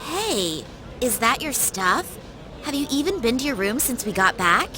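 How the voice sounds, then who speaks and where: Very high, animated schoolgirl. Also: measured pace, background noise. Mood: exasperated concern. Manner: casual, expressive. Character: chatty, outspoken high school girl, friendly classmate. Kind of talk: video game voice acting.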